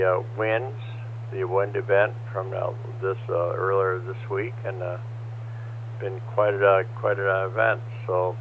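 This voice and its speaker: An older man chatting over a ham radio net, hoarse from a cold, talking haltingly with many fillers. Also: low voice. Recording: tinny two-way radio transmission with faint hum.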